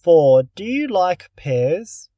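A speaker reading English listening-test items with careful, deliberate enunciation, recorded close and dry. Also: medium pitch, sing-song, dark tone, no echo. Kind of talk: scripted slow test reading.

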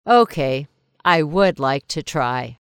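A woman's voice: deep voice, lively intonation, slow, no room sound, studio quality. A woman reading as an unhappy schoolgirl. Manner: careful, clearly enunciated textbook reading. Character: schoolgirl complaining about busy weekends. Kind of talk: scripted listening-test dialogue. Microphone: close studio mic.

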